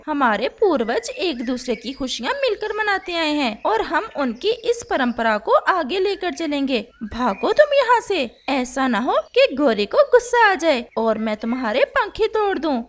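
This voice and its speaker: Woman voicing a bold, high-pitched scolding lady crow. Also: big pitch swings, moderate pace, hardly any pauses. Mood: angry, commanding. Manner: scolding, commanding, blustery. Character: bold, protective, hot-tempered female crow. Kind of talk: animated children's story dialogue.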